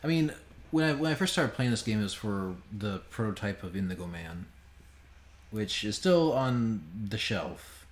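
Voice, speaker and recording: A man's voice: on the low side, animated, normal speed. A man giving casual, sweary, sardonic game commentary on a home stream. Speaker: game developer streaming a playthrough.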